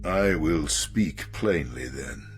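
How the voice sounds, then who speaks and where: An actor playing an ancient, bitter vampire elder in a video game, condescending and suspicious, with theatrical, archaic phrasing. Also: male voice, extremely low pitch, expressive, slow pace, faint music behind, full character voice. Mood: suspicious, accusing. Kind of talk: video game cutscene acting.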